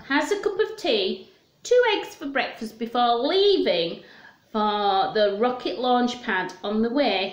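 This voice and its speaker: A roomy home recording of a woman. Her voice is fairly high and she takes her time, speaking very slowly. She presents a story to young children in simple words, putting questions to them as she reads. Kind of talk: reading a picture book aloud to children. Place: small room at home, indoors.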